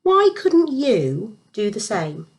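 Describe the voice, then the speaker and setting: A powerful king as a woman storyteller reads him speaks with measured emphasis. He is merciful yet stern, with widely moving intonation, in a children's Bible tale. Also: medium pitch, wildly swooping pitch, a little mellow, full character voice. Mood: stern reproach. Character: powerful male king, merciful but stern when wronged.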